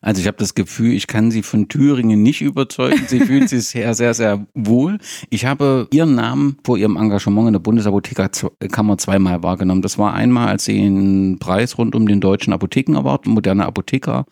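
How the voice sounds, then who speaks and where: Man, podcast host, conversational questions, gravelly, dry close sound. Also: fairly low pitch, lively intonation, brisk, few pauses, mellow, dry recording. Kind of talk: podcast interview.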